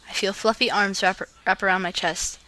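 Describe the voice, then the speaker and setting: A woman with a bright, sharp-edged voice hosts a casual reading video. She sounds informal and giggly, moving between loose vlog chat and amateur read-aloud storytelling. Words sometimes trip her up as she goes. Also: mid-range voice, steady tone, normal speed. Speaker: host of a fan fiction reading video.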